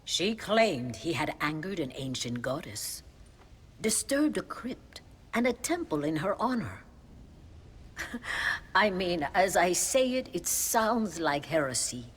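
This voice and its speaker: A woman playing a pious, compassionate Mexican mother superior in a video game, with a Mexican accent and measured, formal phrasing. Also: lowish voice, very animated. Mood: solemn, confiding. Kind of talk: video game cutscene acting. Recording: long reverb, faint background noise.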